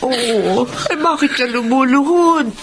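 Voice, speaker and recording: A woman's voice: high voice, measured pace, noisy background. A woman playing an elderly, devout grandmother in a Filipino radio drama, pleading and tearful. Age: older.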